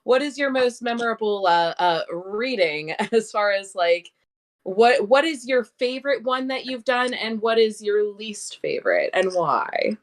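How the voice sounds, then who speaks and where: A woman co-hosting an interview show, asking questions in a halting, giggly way. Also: on the high side, lively intonation. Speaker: co-host. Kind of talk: casual interview chat.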